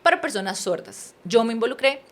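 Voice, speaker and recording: A woman's voice: a little high, a little fast. Earnest Costa Rican woman guest. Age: 30s. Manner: earnest, animated, addresses listener as usted. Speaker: podcast guest. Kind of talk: personal storytelling in conversation.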